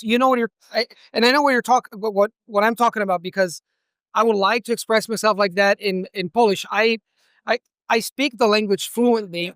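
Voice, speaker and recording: High-voiced interviewing man, clear and close. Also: fairly bright tone, no room sound. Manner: earnest, restarts and repeats words. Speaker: interviewer, language channel host. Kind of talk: conversational interview. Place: hall at a language event with background noise.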